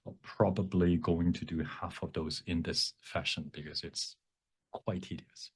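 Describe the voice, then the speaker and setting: Deep, husky-voiced man presenting a workshop. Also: steady tone, measured pace. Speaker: workshop presenter and font developer. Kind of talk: informal workshop presentation with demonstration.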